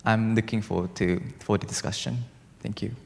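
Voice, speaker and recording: A man's voice: lowish voice, steady tone, moderate pace, gravelly, background noise. A teenage boy introducing himself as a student panelist, casual and restarting often. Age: teens. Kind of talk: prepared self-introduction. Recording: echoey hall.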